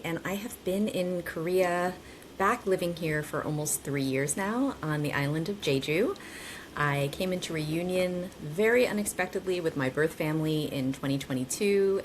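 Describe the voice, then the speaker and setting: A woman in her forties with a notably low voice. She talks with a chatty, animated energy and wanders into asides. There is a faint hiss of noise behind her. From United States. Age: 40s. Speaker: guest. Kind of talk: casual conversation.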